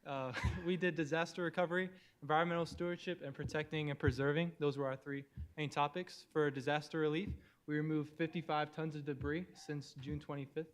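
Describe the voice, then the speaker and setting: Young male volunteer from Ohio, raised pitch, plain factual delivery. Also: flat delivery, a little fast, few pauses. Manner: plain, listing facts in order. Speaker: volunteer team member. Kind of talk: presenting at a public meeting.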